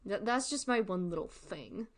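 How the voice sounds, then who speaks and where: Female streamer, candid and informal, halting delivery with repeated words and emphatic asides. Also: mid-range voice, animated. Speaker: streamer and artist. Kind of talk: candid casual livestream commentary.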